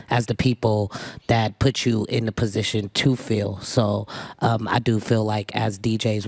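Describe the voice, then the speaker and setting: A man speaking as a DJ on a live panel, talking reflectively with many pauses. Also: on the low side, a little mellow, no room sound. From San Francisco Bay Area. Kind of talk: panel discussion.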